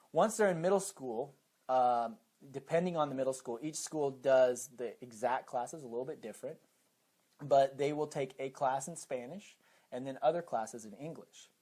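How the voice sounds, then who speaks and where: A man's voice: medium pitch, normal speed, darkish tone. A man with an American accent, a teacher being interviewed, who explains things step by step with examples. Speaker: high school teacher and program coordinator, interview guest.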